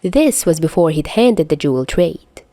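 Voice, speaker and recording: Female audiobook narrator, lowish register, highly varied intonation, dramatic storytelling delivery. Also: expressive, normal speed, mellow, dry recording. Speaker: fan fiction reader. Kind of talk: expressive scripted story narration.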